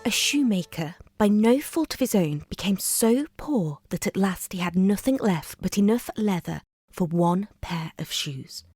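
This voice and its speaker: A woman narrating a bedtime story in a measured, expressive storybook style. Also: mid-range voice, slightly rough, dry recording, studio quality, soft background music. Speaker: storyteller, reader.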